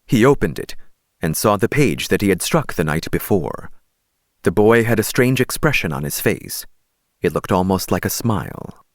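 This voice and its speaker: A man narrating an audiobook as scripted dramatic storytelling, measured and expressive. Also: low-pitched, dry recording, clean studio sound.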